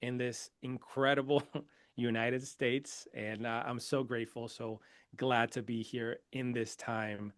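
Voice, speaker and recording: Guy from venezuela, spanish is his first language, chatty and proud, dry close mic, middle pitch. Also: slow, dry recording. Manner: energetic, proud, laughs often. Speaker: podcast guest. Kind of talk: casual interview storytelling.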